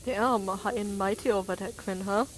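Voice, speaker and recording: A woman's voice: medium pitch, steady tone, a little fast. A woman chatting casually on an online voice call during a game stream. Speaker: co-streamer in voice chat. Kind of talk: casual chat. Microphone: Discord voice call with noise cancellation. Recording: noisy online voice chat.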